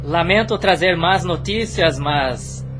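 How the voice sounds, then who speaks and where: A man's voice: on the high side, slow pace, music in the background. A man playing a grave church messenger with bad news in a formal audio drama. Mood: grave, urgent.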